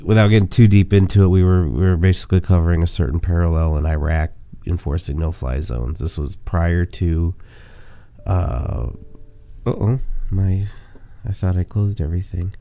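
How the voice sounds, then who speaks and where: Stuffy-voiced man with a cold, very low and gravelly. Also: steady tone, moderate pace, mellow. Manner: rambling, many fillers, self-mocking. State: sick with a cold. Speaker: podcast host. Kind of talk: casual monologue and memoir reading.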